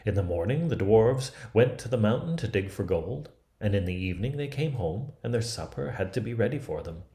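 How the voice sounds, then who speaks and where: A man in his fifties from Canada narrating a fairy tale as a storybook reader, with deliberate, dramatic phrasing. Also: lowish voice, expressive, mellow, echoey room. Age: 50s.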